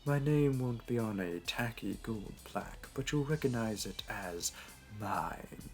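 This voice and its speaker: Man playing a slow-talking, smug flirty supervillain. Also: lowish voice, animated. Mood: playful, teasing. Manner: teasing, theatrical, unhurried. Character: smug, flirty male supervillain hosting a hero in his lair. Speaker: audio roleplay voice actor. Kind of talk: flirty audio roleplay. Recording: music behind. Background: background music.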